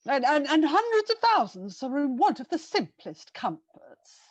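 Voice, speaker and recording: A woman's voice: sits high, sing-song, unhurried, dry recording. A woman playing a polite, slightly flustered charity fundraiser in a radio-style drama, courteous, earnest and hesitant. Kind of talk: vintage radio drama acting.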